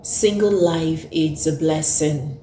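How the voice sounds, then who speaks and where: A woman's voice: low-pitched, takes it slowly, dark tone, reverberant, slight background noise. A woman in her thirties hosting an earnest talk, who repeats her points for emphasis. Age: 30s. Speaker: host. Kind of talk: casual talk to camera.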